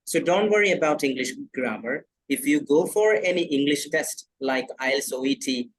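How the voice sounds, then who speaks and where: A man's voice: fairly high pitch, lively intonation, moderate pace, low-quality recording. A man from Kerala with a Malayali accent, whose first language is Malayalam, talking as an English trainer in an online video class, earnest and insistent, repeating his points. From Kerala, South India. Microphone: video call.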